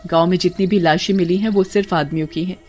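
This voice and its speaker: A woman voicing a brave, confident village wife in a story, assertive and expressive. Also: on the low side, fast, lightly acted. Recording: music behind the voice.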